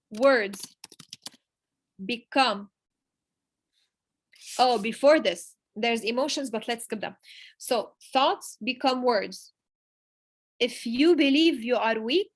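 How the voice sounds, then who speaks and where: A woman giving an emphatic motivational talk to her business team, repeating key phrases and pausing for effect. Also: fairly high pitch. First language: Arabic. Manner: emphatic, repetitive, pauses for emphasis. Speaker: network marketing leader giving a motivational talk. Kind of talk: motivational speech.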